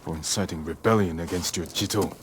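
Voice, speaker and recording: A man playing a stoic, honorable samurai lord in a video game, grave, measured and terse. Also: sits low, noisy background, lightly acted. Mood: firm, composed. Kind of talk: dramatic video game acting.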